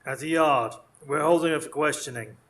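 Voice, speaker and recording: A man acts out an eager, officious inspector for a live radio drama. He is brusque and blustery, with a fairly high male voice that flusters easily. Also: a little fast, a bit bright, natural voice. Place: performance space in front of a live audience.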